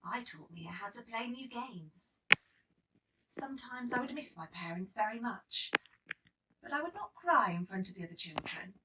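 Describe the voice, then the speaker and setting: A woman reading a children's picture book aloud in an expressive, dramatic storytelling manner. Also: on the high side, moderate pace, heavy background noise. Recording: slightly distant microphone.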